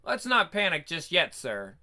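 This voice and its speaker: A man plays a courteous detective sidekick in a comic book story. He is formal and measured, earnest toward his superior, with a bright tone and lively rise and fall in pitch. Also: heavily acted. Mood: cautious. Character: polite, earnest, level-headed male detective sidekick. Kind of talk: comic book dramatic reading.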